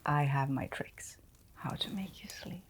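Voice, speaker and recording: A woman playing a gentle, reassuring innkeeper in an ASMR fantasy roleplay, speaking in a soft, breathy near-whisper. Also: deep voice. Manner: slow, gentle, caring, reassuring. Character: Edna, soothing, reassuring woman innkeeper of fantasy forest inn.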